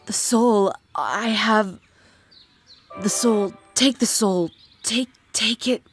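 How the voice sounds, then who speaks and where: A woman voicing a dying female warrior monster in a dramatic reading, proud and loyal, with a shaky, breathy, halting delivery. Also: mid-range voice, faint music behind, close to an everyday voice. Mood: desperate, fading. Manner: halting, strained, gasping delivery. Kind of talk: dramatic fan-fiction reading.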